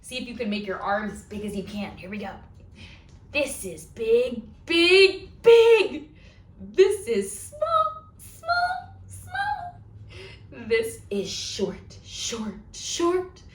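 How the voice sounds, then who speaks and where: Female storytime host for little kids: high, very lively, sing-song delivery, unhurried, in an echoey room. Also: a little hiss or hum. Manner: sing-song, exaggerated, talking to young children. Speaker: children's librarian, storytime host. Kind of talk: read-aloud storytime for young children.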